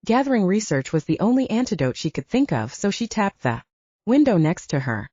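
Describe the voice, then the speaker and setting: A woman's voice: mid-range voice, sing-song, a little fast, warm tone, slightly rough, dry recording. A woman narrating fiction from a script as an audiobook reader. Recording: dull, lo-fi, dry studio-like sound.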